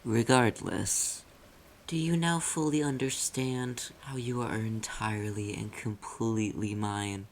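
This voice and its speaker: A man playing a silky, possessive demon in an ASMR roleplay, speaking deliberately and teasingly in a low, gravelly voice. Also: fairly even intonation, slight background noise. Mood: musing, smug. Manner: slow, deliberate, teasing, theatrical. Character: possessive, silky demon claiming an owned soul.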